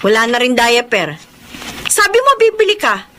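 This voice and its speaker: A woman's voice: on the high side, big pitch swings, normal speed, background noise. A woman playing a distressed young wife and mother in a Filipino radio drama, speaking emotionally. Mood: angry, reproachful. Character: distressed young wife and mother confronting her addict husband.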